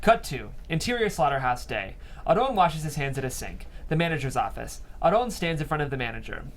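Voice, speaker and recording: A man's voice: a little high, sing-song, a little fast. A man reading stage directions as narrator at a script table read.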